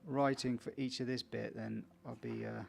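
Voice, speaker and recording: A man's voice: medium pitch, flat delivery, moderate pace, creaky, slight background noise. A man playing a video game for viewers, casually reading its text aloud with small stumbles and chatty asides. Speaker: video game let's player.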